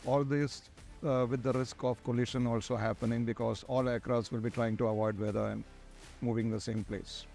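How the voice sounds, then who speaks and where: A man's voice: mid-range voice, steady tone, moderate pace, vocal fry, a little mellow. Middle-aged Indian man giving prepared answers. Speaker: guest, air traffic controller in charge. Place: large glass-walled airport tower room. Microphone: lapel. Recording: music under the voice. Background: background music.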